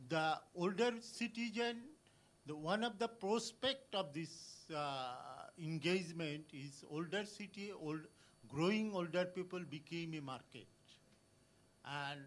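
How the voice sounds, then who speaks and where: A man from Bangladesh making a halting comment from the audience at a conference, with many pauses and restarts. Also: on the high side, fairly bright tone. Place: conference hall.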